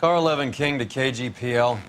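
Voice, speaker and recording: An actor playing a cynical, wisecracking police detective in a video game, with a gruff, sardonic manner. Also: male voice, mid-range voice, brisk, heavy background noise, close to an everyday voice. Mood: businesslike. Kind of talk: video game drama acting.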